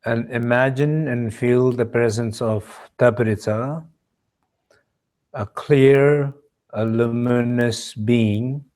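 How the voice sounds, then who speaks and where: A man leads a guided meditation as a teacher, speaking in measured short phrases with pauses between them. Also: medium pitch, steady tone, darkish tone. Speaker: meditation teacher leading a retreat.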